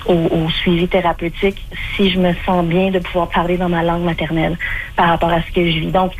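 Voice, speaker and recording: Québécois woman, middle-aged, low and monotone, hesitant radio guest with faint line hiss. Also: a little fast, rough recording. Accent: Québécois French. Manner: hesitant, many fillers and restarts. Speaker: clinical spokesperson, radio guest. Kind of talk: radio interview answers.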